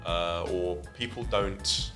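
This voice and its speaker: Deep-voiced man explaining at length. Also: takes it slowly, bright, forceful tone, faint music behind. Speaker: podcast co-host. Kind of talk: casual chat, retelling at length.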